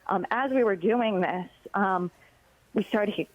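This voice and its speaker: A young woman reporter speaking over a dull, lo-fi phone line, her voice in an ordinary middle pitch. She recounts what happened haltingly, with plenty of filler words. It is a live phone-in to a news broadcast. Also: no room sound. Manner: hesitant, many filler words, recounting events. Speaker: congressional reporter.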